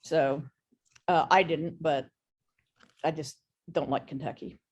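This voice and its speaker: A woman's voice: deep voice, steady tone, slow pace, slightly rough, lo-fi sound. A woman speaking frankly and with firm opinions in a conversational business talk over an online meeting, as a guest expert.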